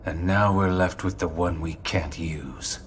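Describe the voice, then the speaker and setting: An actor playing a stern, contemptuous officer in a video game, cold and condescending. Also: male voice, low-pitched, fairly even intonation, unhurried, slight background noise. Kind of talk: video game cutscene acting.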